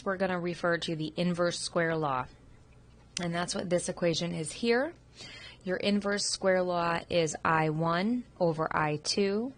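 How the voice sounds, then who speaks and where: A woman instructor, sick and sniffling, giving an informal recorded review of a radiography test. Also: on the low side. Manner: informal, explanatory, with frequent ums. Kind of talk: recorded test review lecture over slides. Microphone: computer microphone.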